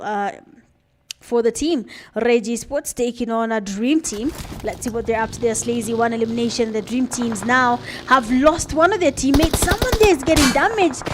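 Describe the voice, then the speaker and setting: A woman's voice: sits high, slight background noise. A young Kenyan woman doing chatty live play-by-play commentary for an esports match, with plenty of filler words. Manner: chatty, laughs at herself, frequent uh fillers. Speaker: esports caster.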